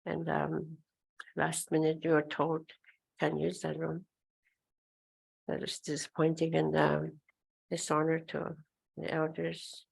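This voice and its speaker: An older woman elder whose first language is Oji-Cree, speaking slowly and hesitantly at a gathering, often pausing and clearing her throat. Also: deep voice, monotone. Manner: slow, hesitant, many pauses and throat clearing. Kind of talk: informal talk at a gathering. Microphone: handheld microphone.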